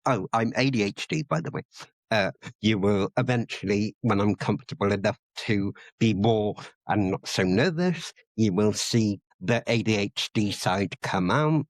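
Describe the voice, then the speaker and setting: Nervous, halting man, low voice. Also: no echo, low-quality recording. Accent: English-sounding. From Wales. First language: Welsh. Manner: nervous, hesitant, many ums. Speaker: podcast co-host. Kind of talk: casual chat.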